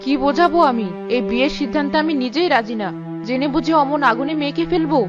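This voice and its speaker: A woman's voice: sits high, fast talker, highs cut off, over music, close to an everyday voice. A woman voicing a worried middle-aged village mother who questions and protests in a Bengali cartoon. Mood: worried, protesting.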